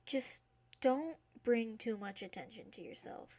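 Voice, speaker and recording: A woman's voice: a little high, lively intonation, measured pace, a little mellow. An actress playing a protective young maid friend in an audio drama, talking in a cautioning, motherly way. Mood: warning.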